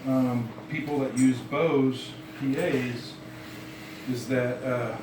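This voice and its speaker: Male, casual hands-on explainer, halting delivery, off mic in an echoey, noisy room. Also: mid-range voice, steady tone, distant mic. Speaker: musician demonstrating PA gear. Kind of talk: casual explanatory demonstration chat. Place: dining room at home, indoors. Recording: roomy, noisy home recording off mic.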